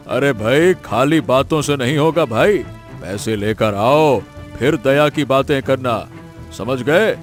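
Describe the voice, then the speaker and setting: A man's voice: medium pitch, slow pace, faint music behind, close to an everyday voice. A man voicing a callous, greedy village healer in a Hindi cartoon story, drawling and hemming with a dismissive sneer. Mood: scornful.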